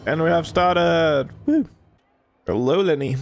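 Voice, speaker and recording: A man's voice: a little high, slow pace, faint music behind, some chatter behind. A man giving casual commentary as he plays a video game. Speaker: gamer commenting on playthrough.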